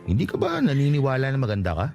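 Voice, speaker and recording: A man with a Filipino accent playing a humble, flattering provincial worker in his late twenties in a radio drama. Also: mid-range voice, quick pace, vocal fry, dull audio, faint music behind. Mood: grateful, candid. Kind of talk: radio drama acting in natural conversation.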